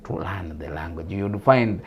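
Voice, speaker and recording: A man's voice: low-pitched, a little slow, darkish tone, breathy edge. A man from Uganda with a Ugandan English accent, a guest on a talk show, explaining steadily with repetitions and fillers.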